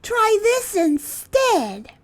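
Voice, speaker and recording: A man's voice: extremely high pitch, wildly swooping pitch, very slow, a bit bright, voice pushed up, full character voice. A man voicing a bold, chirpy little girl mouse from a storybook. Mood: bossy.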